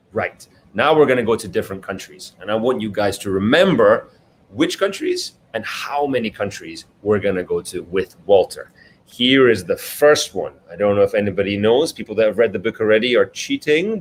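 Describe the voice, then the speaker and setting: A man's voice: fairly low pitch, slight background noise. A man reading a children's book aloud as its author, expressively, with simple explanatory asides to young listeners. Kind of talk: read-aloud storytelling for children, interactive.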